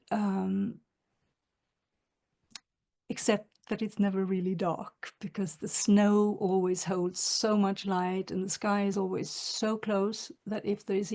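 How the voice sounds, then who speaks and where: A woman from northern Sweden, an author giving a reflective webinar talk, soft-spoken and halting with many fillers, over a video call. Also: medium pitch. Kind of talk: reflective lecture with read quotations.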